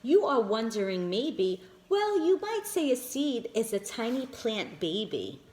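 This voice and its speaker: A woman's voice: fairly high pitch, sing-song, unhurried, a little mellow. A woman reading a picture book aloud to young children, stopping to point out the pictures and calling her listeners friends. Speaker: children's storyteller. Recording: echoey room.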